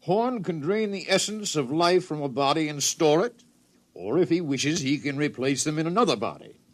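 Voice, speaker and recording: An actor playing a huge, nervous, food-loving scientist with fussy, hesitant, wordy speech in a 1950s radio drama. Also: male voice, fairly high pitch, lively intonation, moderate pace. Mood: fussy, distracted. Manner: fussy, hesitant, pompous wordiness.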